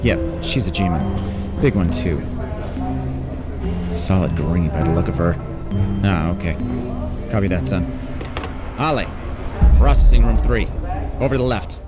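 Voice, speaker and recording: Low-voiced folksy border clerk. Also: male voice, unhurried, long pauses, loud music behind the voice. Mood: matter-of-fact. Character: folksy, unhurried male border scanner clerk. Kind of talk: audio drama acting.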